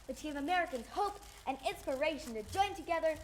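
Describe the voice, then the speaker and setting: Girl acting as high-voiced old-time radio hostess. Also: animated, quick pace, a little hiss or hum, low-quality recording. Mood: earnest, presentational. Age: young teenager. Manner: theatrical, earnest, presentational. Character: chipper young female host of an old-time radio show. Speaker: radio show co-host. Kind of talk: scripted 1940s radio show acting.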